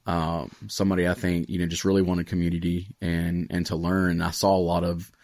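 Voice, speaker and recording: A man's voice: deep voice, little pitch movement, a little fast, a little mellow, dry recording, studio quality. An American man in his late twenties chatting casually and earnestly as a podcast host, with plenty of "like" and "you know". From Raleigh area, United States. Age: late 20s. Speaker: podcast host, student pastor.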